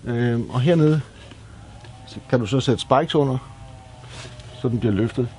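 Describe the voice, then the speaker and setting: A Danish man with a mid-pitched voice walks through a practical job in a casual, explanatory tone. He speaks plainly, like an instructor showing each step. The recording has a clearly echoey room sound with faint noise underneath. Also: warm tone. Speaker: DIY presenter. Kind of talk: hands-on tutorial narration, casual.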